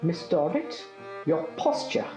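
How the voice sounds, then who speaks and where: A prim Victorian governess. Her voice is low and dark with pointed swoops of emphasis, stern and formal, in a roomy echo for an audio drama. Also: sing-song, slow, over music. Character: strict, prim, self-possessed Victorian governess.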